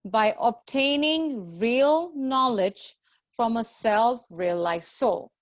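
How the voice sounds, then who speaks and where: A woman's voice: a little high, lively intonation, slow pace. A woman teaching a scripture class to a group, explaining in a didactic way with repeated phrases and rhetorical questions.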